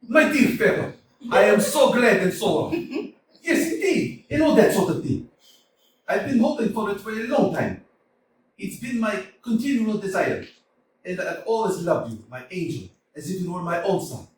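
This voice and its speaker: A man's voice: sits high, animated, moderate pace, a little hiss or hum. A man playing an effusive, jovial older landowner in a staged play reading, laughing often and heaping on pet names, recorded in a roomy hall from a distance. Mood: overjoyed. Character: jovial gushing older landowner, father of the bride.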